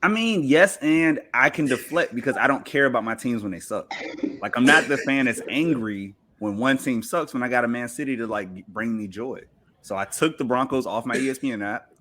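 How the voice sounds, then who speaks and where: A man's voice: medium pitch, lively intonation, a little fast, bright, forceful tone. An American man from Virginia chatting casually as a podcast guest, slangy and joking. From Virginia, United States. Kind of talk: casual chat.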